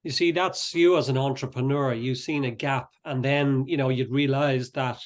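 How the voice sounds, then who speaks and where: A man with a medium-pitched voice works as an interview host. He speaks conversationally, introducing and questioning his guest in long, slightly rambling sentences. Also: fairly even intonation, moderate pace.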